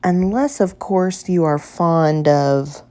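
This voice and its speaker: A woman's voice: on the low side, slow pace, mellow. A woman voicing a polite, helpful male hotel bellhop, obliging and mildly apologetic, in a children's story. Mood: apologetic, cautioning. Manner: courteous, obliging. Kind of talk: children's picture book character voice.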